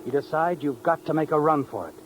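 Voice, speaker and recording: Guy playing old radio crime narrator, american, highish voice, taunting and ominous, loud music behind. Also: lightly acted, poor audio. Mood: taunting, tense. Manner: taunting second-person address with dramatic pauses. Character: mocking, ominous male storyteller of a crime thriller. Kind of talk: 1950s radio suspense narration.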